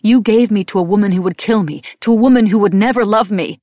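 A desperate, irreverent young woman in an audiobook, played by a woman narrator. Her voice is husky and fairly low with a gravelly edge. She speaks in halting, emotional pleas, bitter underneath. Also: dry recording, clean studio sound. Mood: angry, accusing. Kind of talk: audiobook character dialogue.

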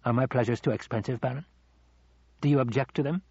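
Guy doing a nasty sneering henchman in an audiobook, husky and insinuating, dry dull recording. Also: fast talker, dark tone, no echo. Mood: sullen. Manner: low, muttered, insinuating delivery. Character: sneering, sullen, venomous male henchman advisor.

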